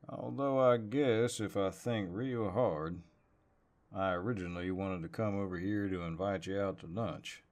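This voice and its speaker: A man playing a flirtatious, easygoing cowboy neighbor with a deep voice and a folksy American Southern drawl, in an intimate roleplay audio. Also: darkish tone. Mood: amused, bashful. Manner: folksy drawl, playful and unhurried.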